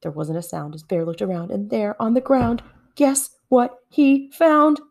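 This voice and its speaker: A woman reading a children's story aloud with expressive narration. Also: fairly high pitch, unhurried. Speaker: storybook reader.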